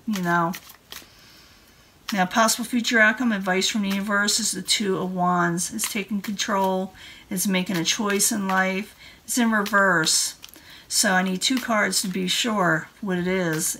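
A woman's voice: mid-range voice, pushed and bright. Woman reading tarot, noisy recording. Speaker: tarot reader. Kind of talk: casual monthly tarot card reading. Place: indoor room at a table.

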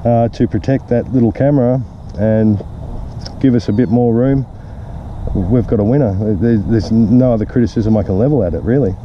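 A middle-aged man giving a relaxed, plain-spoken review of a racing drone in an open park, recorded on a camera mic. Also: mid-range voice, moderate pace, muffled recording, slight background noise. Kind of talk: casual outdoor product review.

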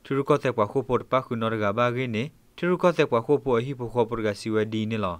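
A man's voice: medium pitch, fast talker, no room sound. A man presenting the news, reading from a script as a radio newsreader.

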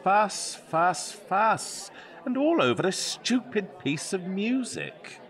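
A man's voice: high voice, very animated, slow pace, a bit bright, some chatter behind, exaggerated voice. A man playing a nonchalant, evasive Tudor court composer in a comic audio drama, flippant, airy and mock-earnest. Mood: dismissive.

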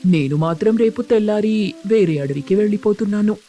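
A man's voice: high voice, fairly quick, warm tone, soft background music. A man voicing a cautious, caring crow uncle in a cartoon story, speaking in an earnest, urging manner. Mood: worried, exasperated.

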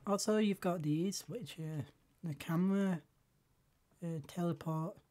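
A woman streaming a game live, hoarse from a cold and often clearing her throat, talking in slow, halting asides to herself. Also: fairly low pitch, mellow. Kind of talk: casual live game commentary.

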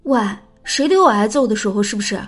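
A spirited young woman in an audio drama. Her voice is fairly high and a little husky, with a blunt, bossy, playful manner and a kind heart. Music sits softly underneath. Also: expressive, quick pace. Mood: embarrassed, scolding. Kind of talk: audio drama character acting.

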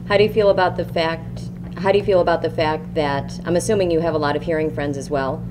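A woman with a notably low, deep voice leads a panel, putting questions to the speakers in a measured, even tone. The recording from the conference room carries a clear hiss and background noise. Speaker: panel moderator.

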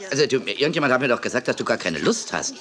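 A man's voice: mid-range voice, big pitch swings, very fast, fairly bright tone, a little hiss or hum. A man playing a fussy, scatterbrained, overbearing TV director who stammers and repeats himself in a children's audio drama. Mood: flustered, dismissive.

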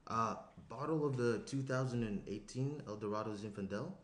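A man's voice: medium pitch, moderate pace, lightly acted. A young man acting an attentive, slightly anxious boyfriend in a conversational, hesitant script reading. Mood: casual. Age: young adult. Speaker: actor in a screenplay table read. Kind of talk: naturalistic dramatic script reading. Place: small indoor room around a table. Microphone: shared tabletop microphone. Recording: roomy echo, noticeable room noise.

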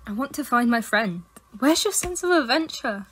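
A curious, bold young student, played by a woman. Her voice is bright and rather high with a husky edge, questioning sceptically in an audio drama. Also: expressive, normal speed, low-quality recording. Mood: curious, teasing. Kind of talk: fan audio drama acting.

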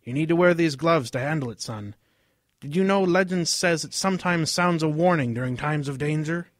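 An earnest English father played by a man in an audio drama. He lectures like a storyteller in a fairly high male voice, recorded dry with no room sound. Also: moderate pace, dry recording, lightly acted. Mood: proud, earnest. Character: earnest, history-proud English working father. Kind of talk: radio drama acting.

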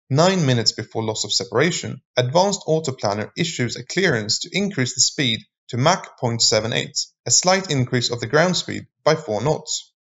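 A man reading scripted explainer narration in a measured, formal manner. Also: mid-range voice, fairly bright tone.

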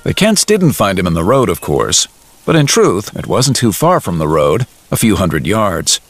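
A man acting as the narrator of an audio drama, telling it in a measured, descriptive, literary way. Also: low voice, sing-song, heavily acted. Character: omniscient male storyteller narrating in present tense.

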